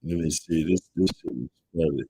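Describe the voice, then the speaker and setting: A man's voice: very deep voice, normal speed, dark tone, a little hiss or hum. A man hosting a casual reaction chat, explaining things in a loose, rambling way. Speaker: host reacting to clips.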